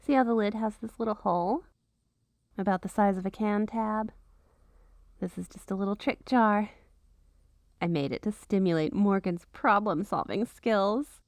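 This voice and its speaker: A woman's voice: medium pitch, normal speed, pauses often, no echo, close to an everyday voice. A woman playing a possessive, menacing witch girlfriend in an ASMR roleplay, cooing and teasing with mock-innocent sweetness and veiled threats. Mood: playful menace.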